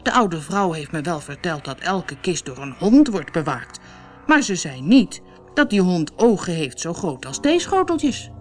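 A woman's voice: lowish voice, very animated, unhurried, faint music behind. A woman voicing a bold, greedy and curious male soldier in a fairy tale. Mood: startled. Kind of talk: storybook character voice.

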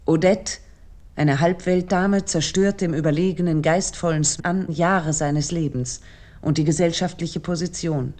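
Female essay narrator, lower register, very soft dark tone, measured and analytical delivery. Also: steady tone. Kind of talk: scripted literary lecture narration.

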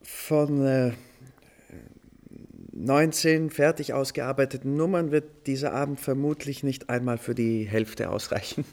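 A man playing a modest, courteous composer in a German radio play, speaking politely and a little haltingly. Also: medium pitch, fairly even intonation, darkish tone, natural voice. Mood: modest, apologetic. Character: composer and maestro, courteous, modest, obliging host of his music.

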